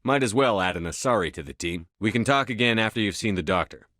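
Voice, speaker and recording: A commanding military starship officer from a video game. His voice sits fairly low and is captured dry, with no room around it. He speaks in short, firm, controlled phrases with natural authority. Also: expressive, quick pace, a bit bright, no room sound. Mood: steady, determined. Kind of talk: video game dialogue acting.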